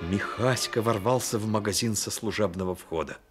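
A man narrating a Soviet radio play as a grave, measured storyteller. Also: lowish voice, faint music behind, lightly acted. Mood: grave, lyrical. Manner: measured, literary delivery. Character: grave male storyteller of a childhood tale.

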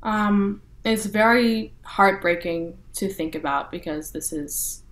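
A young woman from North Texas, speaking as an interview guest in a relaxed way with lots of "you know" and "like" fillers. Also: mid-range voice, slow, low-quality recording. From North Texas, USA. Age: young adult. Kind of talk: casual interview talk.

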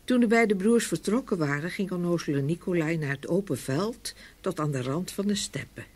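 A woman narrating a scripted fairy tale with expressive storytelling. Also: fairly low pitch, normal speed, husky.

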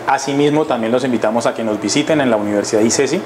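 Colombian man, 50s, facilities manager, calm explanatory testimonial, lapel mic, noisy kitchen. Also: medium pitch. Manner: measured, explanatory, with hesitations and restarts. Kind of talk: testimonial interview. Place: large industrial kitchen, indoors.

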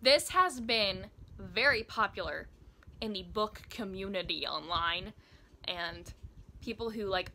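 An American woman in her twenties chatting casually to camera as a book vlogger, gushing and emphatic in an expressive way. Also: mid-range voice, a little hiss or hum. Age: 20s. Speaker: book video blogger. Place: small indoor room by bookshelves.

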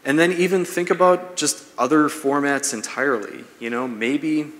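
A man's voice: a little high, normal speed, a bit bright. A man in his thirties giving a business seminar talk in a conversational, explanatory way, like a presenter walking an audience through advice. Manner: conversational, explanatory, checks in with "right?". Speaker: presenter, marketing speaker. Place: large brick-walled event room, indoors. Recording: echoey hall, long reverb.

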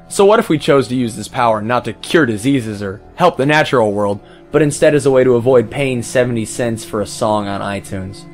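A man's voice: medium pitch, natural voice. A man playing a smug, callous music pirate in a scripted comic monologue, flippant and self-justifying. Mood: indignant, flippant.

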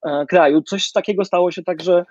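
Middle-aged man, interviewer, slightly high voice, lots of filler sounds, dry muffled video call audio. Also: fairly even intonation, no room sound. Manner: halting, many fillers and restarts. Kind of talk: hesitant literary interview questions. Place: home room with bookshelves.

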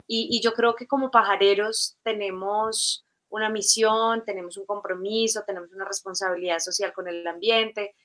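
A woman's voice: medium pitch, bright, forceful tone. A Colombian woman in her forties hosting a live video-call interview, chatty with many fillers and restarts. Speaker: host. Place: home room, video call.

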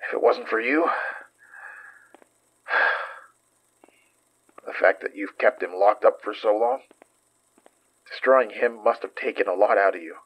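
A worn-out, self-deprecating detective speaks in a lowish, husky voice. He goes slowly and haltingly, with an understated horror-podcast delivery on a slightly dull recording. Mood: pained, strained. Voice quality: husky, breathy. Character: weary, wounded, self-deprecating male detective. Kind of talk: horror audio drama acting, confessional narration.

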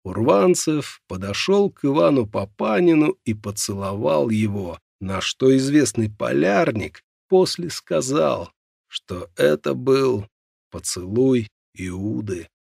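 A man narrates a non-fiction audiobook in a mid-pitched voice with a gravelly, husky grain. His intonation moves expressively through measured storytelling phrases. The sound is close and dry, as on a studio microphone. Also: big pitch swings, darkish tone, dry recording.

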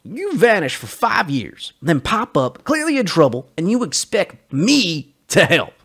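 A sullen, intense revolutionary man, acted out by a male story reader. He is husky and reproachful. His clipped scolding moves widely in pitch. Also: very animated, measured pace, a bit bright, heavily acted. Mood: angry, reproachful.

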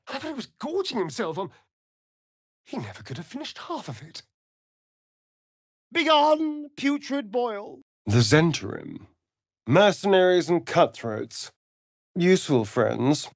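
An actor playing a sardonic, vain vampire rogue in a video game, with an arch, theatrical, drawling delivery. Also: male voice, sits high, no echo, studio quality, full character voice. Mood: shaken, quiet, then angry, scornful. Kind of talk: video game character lines.

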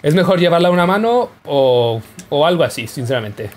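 A man's voice: on the high side, lively intonation, a little fast, a little mellow. A young man giving casual, joking commentary over his gameplay. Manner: playful, jokey, yelps and laughs while playing. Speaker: gaming YouTuber.

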